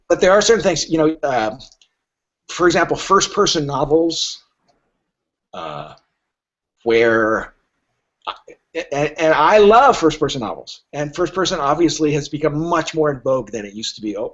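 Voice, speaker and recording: A man's voice: medium pitch, slightly rough. A man talking casually as a guest literary agent on a podcast, telling anecdotes in a wry, emphatic way and acting out the remarks he quotes. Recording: dull, lo-fi podcast audio.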